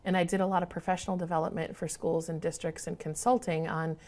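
A middle-aged woman from Michigan, a guest on a studio interview show, talking in a warm, expressive way with easy laughs, on a lapel mic. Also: fairly low pitch, quick pace. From Michigan, USA. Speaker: guest, wildlife photographer. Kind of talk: interview conversation. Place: studio set.

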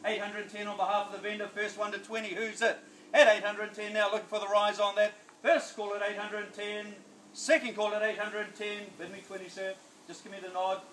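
A middle-aged man with a New Zealand accent calling bids as an auctioneer in a backyard, coaxing buyers and chanting the price in brisk repeats. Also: high-pitched, fairly bright tone, roomy sound, slight background noise, rough recording. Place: sunny backyard outdoors. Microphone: phone camera at a distance.

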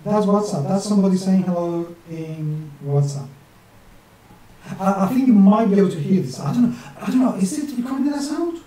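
A man's voice: high voice, normal speed, mellow. Echoey, distant man rambling at his desk. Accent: non-native English with some northern English influence. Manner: chatty, talks to himself, jokey asides. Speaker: map illustrator and channel host. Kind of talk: rambling chat while drawing on screen. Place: small home room at a desk with a webcam. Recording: very echoey room, distant mic, faint hiss.

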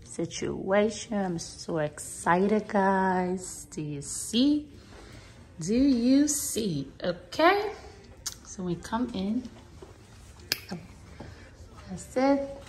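A woman vlogger giving a casual home tour in a gushing manner with drawn-out emphasis, recorded on a handheld phone in a bathroom. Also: medium pitch, lively intonation, noisy background. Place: small tiled bathroom indoors, being remodelled. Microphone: handheld phone behind the camera. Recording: echoey bare bathroom.

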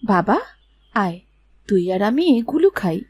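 A woman's voice: mid-range voice, expressive, measured pace, a little mellow, breathy edge. A woman voicing an affectionate mother of a village boy in a cartoon tale. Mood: tender.

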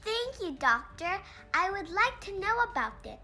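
Lady doing a little schoolgirl puppet for a kids show, really high voice, polite, kinda sickly and worried, music behind. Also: very animated, moderate pace, sharp tone, exaggerated voice. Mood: eager, worried. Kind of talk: children's educational puppet show dialogue.

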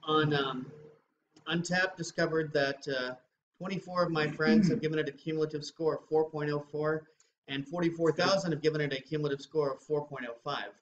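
A man's voice: fairly high pitch, fairly even intonation, brisk. A middle-aged man co-hosting a casual beer review, chatty and rambling with many fillers. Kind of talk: casual chat. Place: small room with shelves of beer bottles. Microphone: webcam recording from a distance. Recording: roomy, distant, dull webcam sound with faint hiss.

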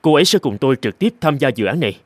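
A man's voice: mid-range voice, big pitch swings, rapid-fire, dry recording. A man voicing an earnest, persuasive boss of a young company in a cartoon, speaking politely. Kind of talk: dubbed cartoon dialogue.

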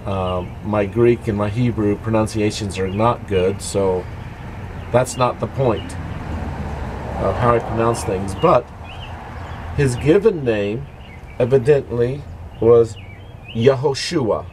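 Middle-aged man, informal devotional vlogger, unhurried and halting, mid-pitched voice, faint background noise. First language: English. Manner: halting, explanatory. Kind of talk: informal devotional talk to camera. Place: dark greenhouse. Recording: noisy amateur recording with background ambience.

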